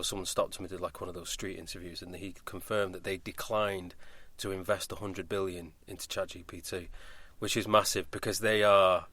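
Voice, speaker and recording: Male podcast co-host, lowish pitch, emphatic and opinionated, conversational delivery, dry sound. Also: a bit bright, dry recording.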